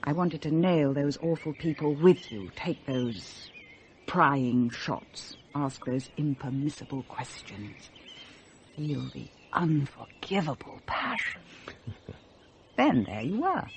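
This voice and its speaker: Posh english mum in a radio play, bored n rebellious, very theatrical, pitch all over, bit of background noise. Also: female voice, sing-song, slow pace, heavily acted. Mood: rapturous. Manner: articulate, dramatic, self-mocking. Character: bored upper-class married mother, breathless, rebellious, theatrical. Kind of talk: British radio play acting.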